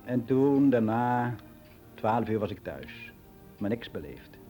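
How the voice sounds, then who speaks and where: A man's voice: mid-range voice, big pitch swings, takes it slowly, dark tone, faint music behind. An actor playing a world-weary middle-aged man who rambles in a frank, confiding way in a radio play monologue. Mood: chatty, rambling. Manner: rambling, confiding, matter-of-fact.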